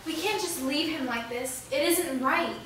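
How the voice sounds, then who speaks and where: A woman's voice: high voice, measured pace, fairly bright tone. A woman playing an earnest, principled young woman in a staged reading of a drama. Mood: urgent, pleading. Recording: echoey room, distant mic, faint noise.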